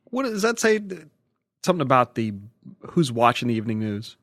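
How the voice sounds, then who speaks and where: A man co-hosting a podcast in casual banter, with a deadpan joking manner. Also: mid-range voice, fairly quick, dry recording, clean studio sound. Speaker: podcast co-host.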